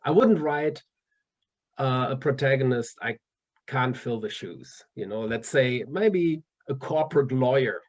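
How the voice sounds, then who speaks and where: A man's voice: mid-range voice, expressive, a little slow, muffled recording. A man with a German accent, an author chatting casually in an interview, often restarting his sentences with fillers. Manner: hesitant, many restarts and fillers, laughs often. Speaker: author guest.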